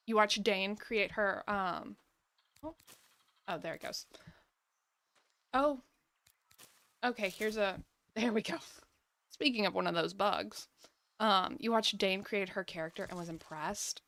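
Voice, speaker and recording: A woman's voice: mid-range voice, unhurried, creaky, pauses often, a bit bright. A woman doing casual live gaming commentary, chatting and thinking aloud as she plays. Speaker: game streamer.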